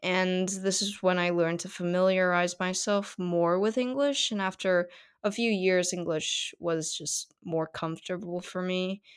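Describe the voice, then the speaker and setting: A woman's voice: on the low side, steady tone, a little slow, clear, resonant tone. Teenage woman hosting a podcast. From South Korea. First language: Korean. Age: teens. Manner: chatty, many fillers. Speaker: podcast host. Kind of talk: casual solo podcast monologue.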